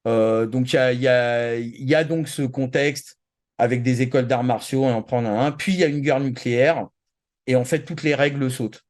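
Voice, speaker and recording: Guy co-hosting a geeky show, middle pitch, casual slangy talk, kinda rambly and hesitant. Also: darkish tone. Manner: rambling, hesitant, word-searching. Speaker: co-host of a pop culture show. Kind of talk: casual chat about anime and manga.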